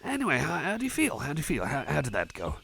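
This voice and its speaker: A man's voice: fairly high pitch, huge pitch swings, gravelly, bright, forceful tone, slight background noise, heavily acted. A man playing a shy, friendly cyborg chum in an ASMR roleplay, stammering, repeating himself and putting himself down. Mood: friendly, rambling. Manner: stammering, halting, repeats phrases, self-effacing. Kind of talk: ASMR roleplay, personal attention.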